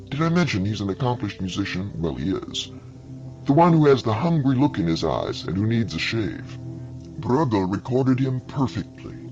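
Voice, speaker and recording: A man's voice: fairly low pitch, darkish tone, lo-fi sound. A man narrating a scripted story in a conversational way, with wry asides to the listener.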